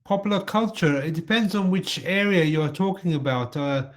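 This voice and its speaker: A man from London with a high-pitched voice leads a friendly practice conversation. He speaks politely and steadily, asking simple follow-up questions. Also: expressive, normal speed. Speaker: English conversation partner. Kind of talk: casual conversation.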